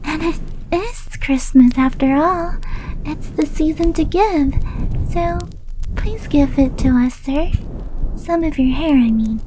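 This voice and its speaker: A woman playing a shy, devoted young yandere maid in roleplay ASMR, cutesy and deferential, slipping into breathy whispers. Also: high voice, slow, dark tone, a little hiss or hum, rough recording. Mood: sweetly clingy.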